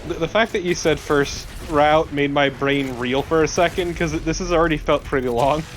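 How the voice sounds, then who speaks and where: A man's voice: a little high, steady tone, moderate pace, slight background noise. A man chatting casually as a co-commentator on a video game. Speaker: let's play co-commentator. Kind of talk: casual chat.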